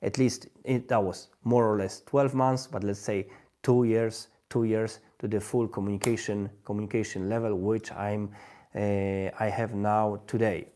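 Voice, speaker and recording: Halting man with a Polish accent. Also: on the low side, flat delivery, dark tone. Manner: halting, searching for words, many restarts. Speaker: language-learning livestream host. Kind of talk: live stream monologue.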